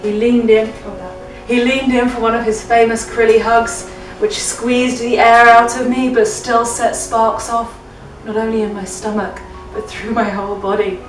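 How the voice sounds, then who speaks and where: A woman's voice: a little high, flat delivery, normal speed, hardly any pauses. Woman reading aloud in an echoey room. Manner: theatrical, giggly, playfully hammy. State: drunk. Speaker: reader of fan fiction for a comedy web show. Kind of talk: jokey dramatic story reading. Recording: echoey room, distant mic, music underneath.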